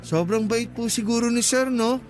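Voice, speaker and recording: Casting: a very high-voiced, polite young male rider, faint music behind. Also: moderate pace. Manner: courteous, deferential. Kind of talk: radio drama acting.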